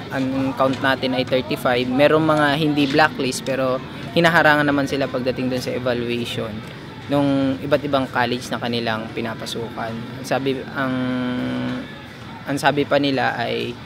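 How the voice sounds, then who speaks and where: A man's voice: medium pitch, creaky. A young man with a Filipino accent giving a statement as a student activist. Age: young adult. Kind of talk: spoken statement to camera. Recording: noisy outdoor street with traffic.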